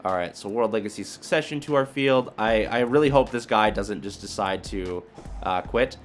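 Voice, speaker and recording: A man's voice: lowish voice, normal speed. A man giving casual gameplay commentary, informally thinking aloud as he goes step by step. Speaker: video creator commentating on own card game play. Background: background music.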